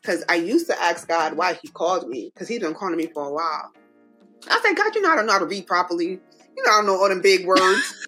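Jamaican woman host, bright animated delivery, faint music bed, laughs easily. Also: lowish voice, fast. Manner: lively, laughs often, emphatic. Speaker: podcast host. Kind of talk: casual faith-filled chat.

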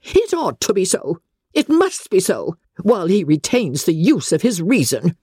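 A domineering older lady of rank from a classic storybook. Her delivery is pompous and emphatic, leaping high in indignation. The voice has a husky grain and sits close and dry. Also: very animated, unhurried, dark tone, dry recording, full character voice. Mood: outraged, commanding.

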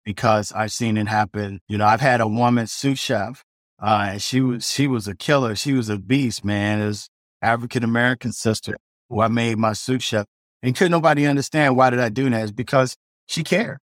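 A middle-aged chef tells his story in a loose, rambling way, full of restarts and "you know". He speaks at a middle pitch. The sound is dry, with no room around it. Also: steady tone, normal speed, no room sound. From South Side of Chicago. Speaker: chef guest. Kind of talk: casual storytelling interview.

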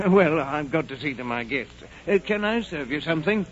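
A male actor plays a talkative, worrying innkeeper and father. His voice sits a little high, and he frets in old radio drama style. The recording is dull and dated. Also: lively intonation, moderate pace, close to an everyday voice. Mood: chatty, exasperated. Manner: fretful, chatty. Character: worried, talkative innkeeper, father of a headstrong daughter. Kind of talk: 1940s radio drama acting.

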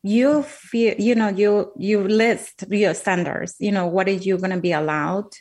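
A woman's voice: mid-range voice, unhurried, darkish tone. A woman from Colombia with a Colombian Spanish accent, speaking English as a second language, earnestly recounting her life as an interview guest. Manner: earnest, emphatic, repeats words while thinking. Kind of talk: heartfelt personal storytelling.

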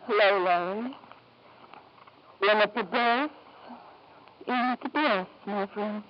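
A woman's voice: mid-range voice, slow, lightly acted. An actress playing a grieving, hard-drinking middle-aged mother in an old-time radio drama, bitter, weary and theatrical. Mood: bitter, wistful. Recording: dull, noisy 1940s-50s radio recording.

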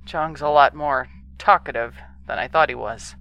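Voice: mid-range voice, normal speed, vocal fry, bright, forceful tone, poor audio. A performer playing an earnest, conciliatory moonbase crew member pleading for patience in a sci-fi audio drama.

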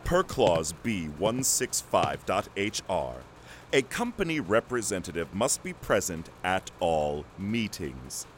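A man's voice: deep voice, sing-song, slow, slight background noise, exaggerated voice. A man playing a smug, officious company agent, a villain who speaks with formal, menacing politeness and bureaucratic precision.

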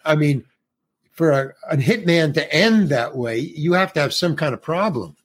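An older man with a husky, slightly raised voice tells a winding story from his career. He restarts his sentences and slips into quoted dialogue as he talks. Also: fairly high pitch, animated, normal speed. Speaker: guest actor. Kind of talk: casual anecdote in an interview.

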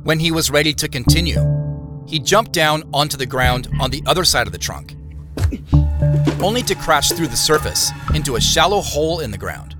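Guy playing a measured audio drama narrator, slightly high male voice, music clearly behind him. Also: animated, natural voice. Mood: measured, mysterious. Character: storyteller recounting clues and an eerie boyhood tale.

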